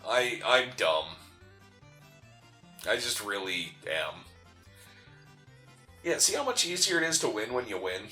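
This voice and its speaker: A man with a bright, forceful voice chats casually as he co-hosts a video game playthrough. He jokes freely and runs himself down for fun. Faint music plays behind him. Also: on the low side, very animated, a little slow, pauses often. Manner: joking, self-deprecating. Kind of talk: casual banter over gameplay.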